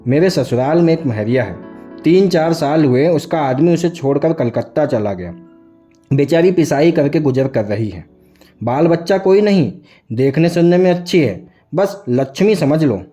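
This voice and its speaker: A man's voice: on the high side, animated, moderate pace, dark tone, faint music behind, lightly acted. A man voicing a wily middle-aged village farmer in a storybook, ingratiating and folksy in his rustic speech. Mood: coaxing.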